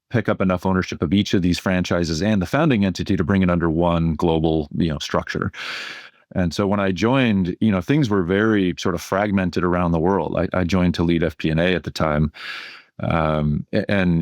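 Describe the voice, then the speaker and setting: A man speaking as an interview guest, explaining things in a measured, conversational way with frequent verbal hedging. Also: low-pitched, a little mellow, no echo, clean studio sound. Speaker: finance executive, podcast guest.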